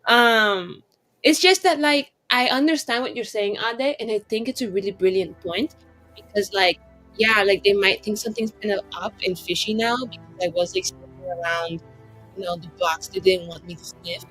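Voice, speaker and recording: A woman's voice: mid-range voice, moderate pace, a bit bright, faint music behind, poor audio. A woman playing a tabletop roleplaying game, casually thinking aloud in a chatty way full of "like" and acted-out reactions. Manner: chatty, peppers talk with "like" and quoted reactions.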